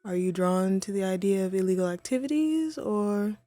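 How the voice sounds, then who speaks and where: A woman's voice: medium pitch, lively intonation, moderate pace, dark tone, no echo, faint music behind, close to an everyday voice. A woman voicing a haughty, wry female supervillain in a scripted audio roleplay, poised and theatrical but conversational. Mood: dry, amused.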